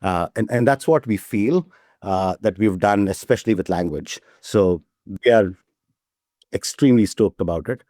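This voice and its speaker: A man's voice: on the low side, moderate pace, mellow, no echo, clean studio sound. A man talking as a startup CEO guest in a casual interview, keen and eager, often tagging his sentences with "right?". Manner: enthusiastic, repeats "right?" as a tag.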